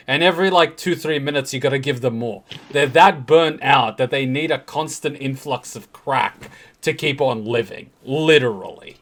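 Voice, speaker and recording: A man hosting a game story reaction, commenting casually and irreverently, repeating words for emphasis. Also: medium pitch.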